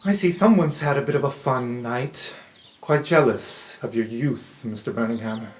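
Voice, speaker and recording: A man's voice: medium pitch, moderate pace, lightly acted. A man voicing a wry, ailing older female captain who talks bluntly and teasingly in a tabletop game.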